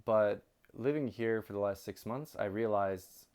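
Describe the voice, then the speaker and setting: A man chatting casually as an interview guest, with an animated storytelling manner. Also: fairly low pitch, a little slow, clear, resonant tone. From outside Japan. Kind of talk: casual chat.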